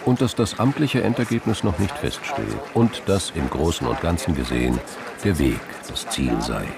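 A man's voice: rumbling low, expressive, normal speed, gravelly, over a noisy crowd. A man narrating a crime drama in scripted, literary prose. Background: music.

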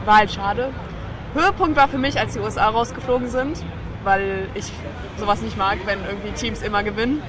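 A woman's voice: medium pitch, a little fast. A woman being interviewed, giving her opinions in a casual, conversational way. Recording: noisy outdoor crowd background. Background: crowd chatter, outdoor noise.

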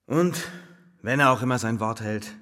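A man's voice: mid-range voice, normal speed, sharp tone, close to an everyday voice. A man acting a penniless, dreamy lodger in a German radio play, theatrical and philosophizing with bitter irony. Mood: defiant, wistful. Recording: long hollow reverb.

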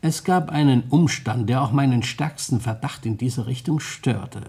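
An actor playing a shrewd, self-satisfied police detective in a radio crime play, speaking formally and deliberately. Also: male voice, medium pitch, warm tone, slightly rough. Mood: lecturing.

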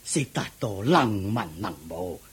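Radio drama king male actor, Hong Kong Cantonese: husky, unhurried, swooping intonation, fatherly and fretful. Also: on the low side, lively intonation, exaggerated voice. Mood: relieved. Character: anxious, doting middle-aged king, father of a prince.

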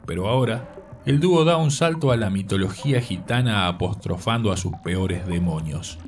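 A man narrates a radio arts segment from a script. His voice is on the low side and his wording is formal and literary. He sounds set back from the microphone, with music playing clearly behind him. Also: recorded from a distance.

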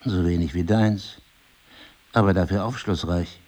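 Husky, mellow, cynical husband, low-voiced. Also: male voice. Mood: reflective, confiding. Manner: deliberate, articulate, literary phrasing. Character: married male crime novelist, probing, cynical, wounded, accusing. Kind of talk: intimate two-person stage drama dialogue.

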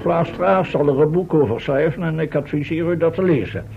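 An actor playing a bossy, easily indignant, eccentric professor in a Dutch radio play. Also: male voice, a little high, brisk, creaky. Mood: earnest explaining. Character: irritable, eccentric male professor, a time traveller. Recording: muffled old radio recording, faint noise.

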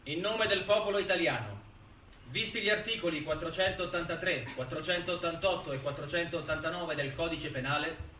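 A stern judge in a stage drama, reading legal formulas with solemn authority. The voice is sharp and projected, heard at a distance in a roomy space with faint noise. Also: male voice, fairly high pitch, moderate pace. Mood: solemn, official. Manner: solemn, official. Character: stern, formal male judge pronouncing sentence. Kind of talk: formal legal proclamation on stage.

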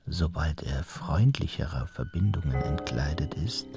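A man's voice: extremely low pitch, expressive, measured pace, creaky, over music, exaggerated voice. A man playing an ominous, brooding narrator in a radio play, half-whispering portentous short phrases.

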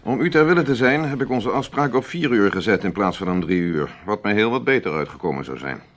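A man playing a suave, imperious middle-aged army major in a radio drama, courteous, measured and condescending. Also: lowish voice, expressive. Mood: drily amused.

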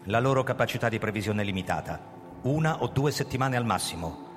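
An actor playing a proud, guarded older police commissioner who narrates his own story in a theatrical, measured radio drama style. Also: mid-range voice, expressive, close to an everyday voice. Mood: authoritative. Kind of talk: live radio drama reading with music. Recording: reverberant hall with music behind. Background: music.

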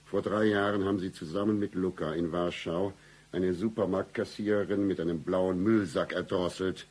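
Very deep, husky noir detective. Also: male voice, moderate pace. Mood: accusing, sardonic. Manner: deadpan, accusing, melodramatic. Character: hard-boiled male private detective, cynical, menacing, absurdly deadpan. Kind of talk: noir satire radio play acting and narration.

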